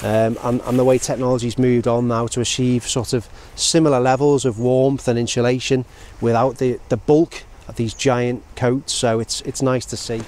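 A British man in his forties giving a casual, measured product review to camera in a conversational way. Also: medium pitch, flat delivery, a little mellow. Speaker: outdoor gear reviewer. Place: outdoors in open countryside. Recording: outdoor ambient noise.

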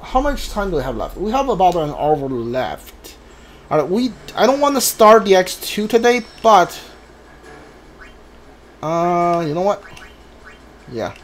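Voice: mid-range voice, very animated, measured pace, long pauses, a little hiss or hum. A video game streamer giving casual, hesitant commentary while thinking aloud.

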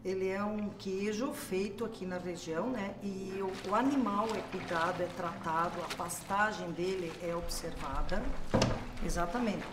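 A woman's voice: mid-range voice, normal speed, background noise. Woman in an echoey dairy. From Brazil. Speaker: cheesemaker. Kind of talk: casual explanation. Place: small cheese dairy, indoors. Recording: echoey tiled dairy room.

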